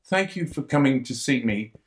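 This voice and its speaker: A man's voice: mid-range voice, lively intonation, normal speed, a little hiss or hum, lightly acted. A man playing an unhinged, self-pitying jailed man. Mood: unctuous. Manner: wild swings between hushed confiding and outbursts. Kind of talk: dramatic monologue to a visitor. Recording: echoey room.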